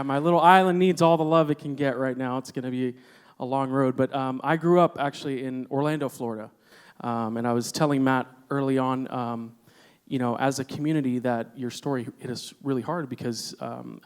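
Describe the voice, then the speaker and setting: A man's voice: mid-range voice, fairly even intonation, clear, resonant tone. Earnest man chatting on a concert stage. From Puerto Rico. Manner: earnest, halting, with many fillers. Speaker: guest singer. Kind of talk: candid stage chat between songs.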